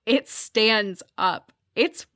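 Woman, podcast guest, slightly high pitch, dry close sound, casual, enthusiastic, informal. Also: very slow, a bit bright, dry recording. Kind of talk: casual chat about fandom culture.

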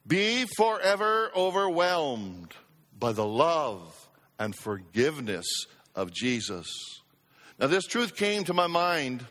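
A man's voice: mid-range voice, a bit bright. A male pastor preaching a sermon, emphatic, calling out to the congregation for responses. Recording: long hall reverb.

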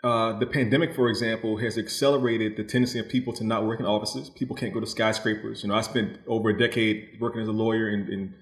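A man's voice: fairly low pitch, fairly even intonation, fast, hardly any pauses. Man in a roomy, echoing space, explaining thoughtfully. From New Orleans, United States. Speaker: guest novelist. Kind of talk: reflective interview conversation.

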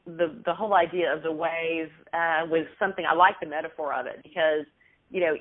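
A middle-aged woman with a Texan accent, a guest author speaking in a friendly, steady way about her work. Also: fairly low pitch. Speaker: author and business owner, guest. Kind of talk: casual interview over the phone. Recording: tinny phone line.

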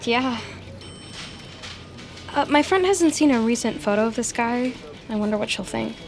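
An actress playing an eager, awkward young tech team leader, stammering and rambling earnestly in a naturalistic audio drama. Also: female voice, a little high, animated, normal speed, faint music behind. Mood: hesitant, wistful.

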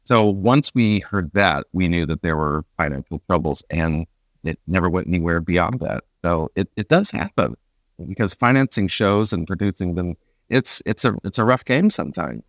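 A man with a fairly low voice hosts an interview in a conversational style. He explains things for listeners, doubling back on words as he talks. The recording is dry and free of room sound. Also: expressive, moderate pace, gravelly, dry recording. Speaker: interview show host, stage performer.

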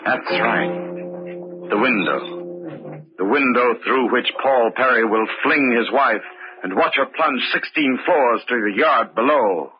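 An actor playing a grave, ominous narrator of a murder thriller, with measured old-time radio delivery. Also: male voice, medium pitch, lively intonation, faint music behind. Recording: muffled old radio recording.

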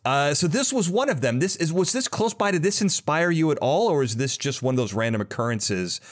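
A man hosting a casual interview, talking in a halting, rambling way and often interrupting himself. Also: a little high, sing-song. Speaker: podcast host interviewer.

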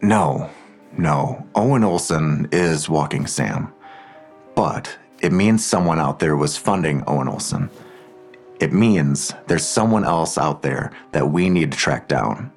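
An actor playing a protective, secretive man digging into a crime, speaking in a measured, reassuring way in an audio drama. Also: very deep voice, expressive, vocal fry, soft background music, full character voice. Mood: intent, explaining.

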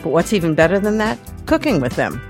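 Lady reading a podcast ad, lower voice, guitar playing under it. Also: very animated, fast talker. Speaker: podcast host in an ad. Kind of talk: scripted ad read. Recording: clear guitar music behind. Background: guitar music.

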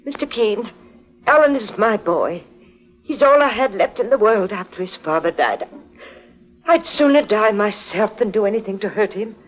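A proud, anxious mother of a grown son, acted by a woman in a vintage radio mystery. She speaks formally and defensively at a medium pitch, on a muffled old recording. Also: expressive, moderate pace, soft background music, lightly acted. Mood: pleading, resolute. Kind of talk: golden age radio drama acting.

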